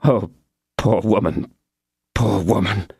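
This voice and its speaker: A man's voice: expressive, a little slow, no echo, studio quality, close to an everyday voice. A man reading as a grave, solemn musketeer. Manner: low, faltering, solemn. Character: grave older musketeer, somber and weary.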